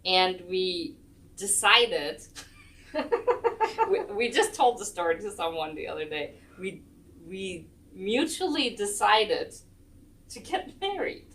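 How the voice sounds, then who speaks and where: A woman's voice: mid-range voice, very animated, takes it slowly, a bit bright, far from the mic. A woman from Germany, a chair yoga instructor chatting as an interview guest, rambling with lots of ums and restarts. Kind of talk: casual storytelling interview. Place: senior center room.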